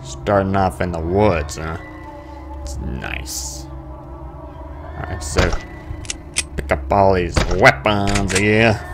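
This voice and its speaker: A man giving casual let's-play commentary, muttering asides and bursting into sudden exclamations, as host of a gaming video. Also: sits low. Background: game music and sound effects.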